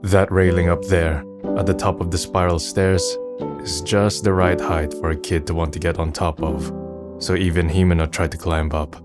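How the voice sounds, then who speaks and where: Low-voiced, pensive young man played by an actor. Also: little pitch movement, normal speed, over music, natural voice. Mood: wistful narration. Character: wry, pensive young man, melancholy and self-doubting. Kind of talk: manga dub dialogue and narration.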